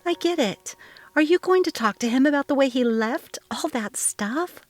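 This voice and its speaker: Story role: worried middle-aged mom woman performing, emotional and anxious, lifted pitch, music underneath. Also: lively intonation, moderate pace. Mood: excited, conflicted. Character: worried, resentful middle-aged mother Elle.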